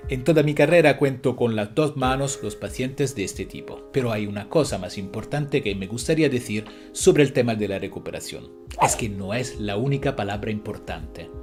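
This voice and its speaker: A man's voice: mid-range voice, normal speed, darkish tone, soft background music. A man from Italy, a native Italian speaker, presents an educational video as a therapist in a scripted, didactic way, often posing rhetorical questions. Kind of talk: scripted educational narration addressed to viewers.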